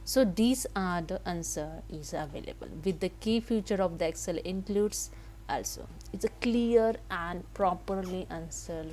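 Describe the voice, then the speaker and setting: A woman giving a step-by-step software tutorial in halting, simple non-native English, with noise behind her. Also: lowish voice. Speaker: tutorial host. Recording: noticeable background noise.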